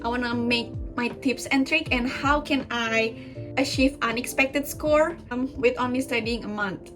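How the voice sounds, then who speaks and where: A young Indonesian woman with an Indonesian accent chats to her viewers in English, her second language, in a fairly high voice. She talks earnestly and casually, with plenty of ums and restarts. Clear background music plays under her voice. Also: unhurried. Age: young adult. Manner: earnest, chatty, many fillers and restarts. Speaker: YouTuber. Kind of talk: casual vlog talk to camera.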